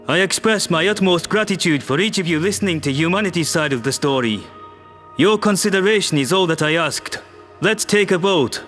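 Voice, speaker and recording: A man's voice: high voice, expressive, brisk, a bit bright, soft background music. A man voicing a human diplomat making an earnest, persuasive speech to an alien assembly.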